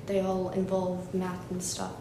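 A woman's voice: monotone, measured pace. A teenage female student sharing her views in a casual discussion. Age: teens. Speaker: school student. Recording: roomy, clear rumbling background noise.

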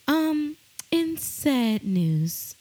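A woman's voice: high-pitched, very animated, drawn-out words, dark tone. A woman co-hosting a casual gossip podcast, brash and profane and joking. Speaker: podcast co-host.

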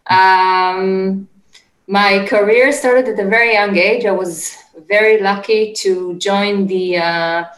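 A middle-aged woman with an Israeli accent, answering interview questions conversationally in a halting, searching way. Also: medium pitch, steady tone, a bit bright, reverberant, soft background music, poor audio. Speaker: interview guest, startup executive. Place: home office, remote call.

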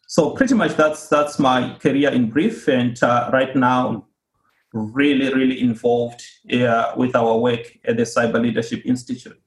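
A man from Zimbabwe, speaking as an interview guest in a mid-pitched voice. He explains things earnestly and at a measured pace, often pausing with a small "uh". The room around him sounds noticeably echoey. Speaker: guest, cybersecurity author and institute co-founder. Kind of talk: podcast interview answers.

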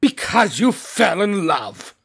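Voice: mid-range voice, lively intonation, slow, creaky, husky, full character voice. A narrator putting on a stern, growling old miser. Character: cold, bitter old miser uncle, scornful.

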